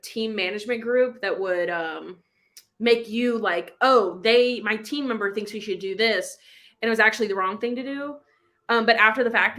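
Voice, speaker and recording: A woman's voice: on the high side, pushed and bright. A woman hosting a casual live book club chat, talking in an informal, slangy, rambling way. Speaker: live show host.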